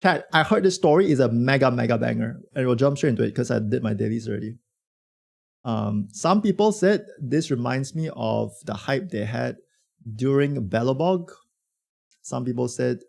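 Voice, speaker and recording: A man streaming a game with a hoarse voice from a cold, chatting loosely and slangily with his viewers. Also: mid-range voice, a little mellow. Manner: slangy, rambling, talks to chat. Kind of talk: casual livestream chat reacting to a game.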